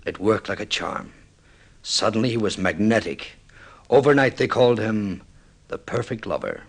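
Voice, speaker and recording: An actor playing a middle-aged film director, intense and mournfully insistent, with theatrical, deliberate delivery in vintage radio drama style. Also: male voice, lowish voice, big pitch swings. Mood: impassioned, persuasive.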